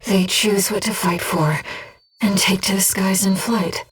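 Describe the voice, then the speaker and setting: A woman voicing a gentle, disembodied young female companion in a video game, giving a mercenary pilot composed, earnest and precise tactical reports in a soft, breathy voice. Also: mid-range voice, fairly even intonation, measured pace, distant mic, rough recording. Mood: calm, focused. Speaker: mission operator companion.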